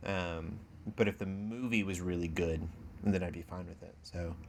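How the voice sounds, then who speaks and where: A man with a very low voice chats casually as a podcast co-host, with plenty of gravelly fry. His delivery is informal and halting, as if thinking aloud, over faint background noise. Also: steady tone. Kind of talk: casual chat.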